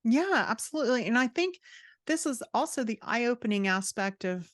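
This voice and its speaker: A woman's voice: on the high side, lively intonation, normal speed, no room sound, studio quality. A woman guest talking casually and expressively in an interview, giving her opinions in informal language. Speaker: podcast guest, fantasy author and writing coach.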